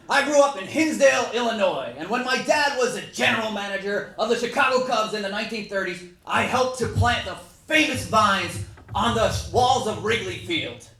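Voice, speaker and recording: A jovial old-time baseball promoter spins folksy tales, acted by a man in a staged reading. The voice is high and bright, heard from a distance in an echoing room. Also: heavily acted. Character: jovial, boastful old-time baseball team owner and showman. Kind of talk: dramatic reading, first-person monologue. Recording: echoey room from far off, faint noise.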